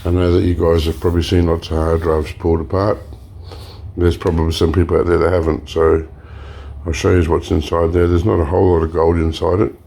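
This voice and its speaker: Male hands-on demo host, deep and dark-toned, relaxed and a bit hoarse, thinks aloud while he works. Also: a little fast. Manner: relaxed, explains while working, frequent fillers and restarts. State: slightly hoarse, clearing throat. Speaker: e-waste recycling channel host. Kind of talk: casual hands-on demonstration.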